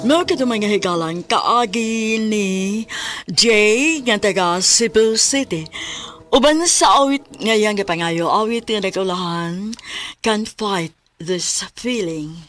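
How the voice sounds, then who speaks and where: A woman's voice: medium pitch, slow pace, a bit bright, soft background music. A woman with a Cebuano accent hosting a radio drama programme in a scripted announcing style.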